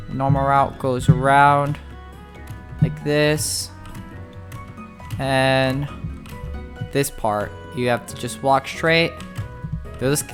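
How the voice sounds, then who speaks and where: Gamer doing a chatty tutorial, talks to viewers like buddies, music playing behind. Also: medium pitch. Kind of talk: casual video game walkthrough commentary.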